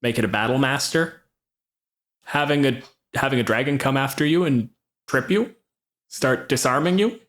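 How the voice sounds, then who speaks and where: A man's voice: medium pitch, normal speed, pauses often, a bit bright. A man hosting a podcast, chatting casually and with opinions, swearing freely as he makes his points. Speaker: podcast host.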